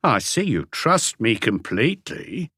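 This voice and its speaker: A man's voice: medium pitch, very animated, unhurried, sharp tone, husky, dry recording, clean studio sound, heavily acted. A man voicing a self-satisfied, disdainful male suspect in his late twenties for an audiobook. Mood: smug. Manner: smug, insistent.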